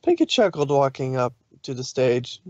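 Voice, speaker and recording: A man reading fan fiction aloud as an expressive story narrator. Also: medium pitch, moderate pace, no room sound, highs cut off.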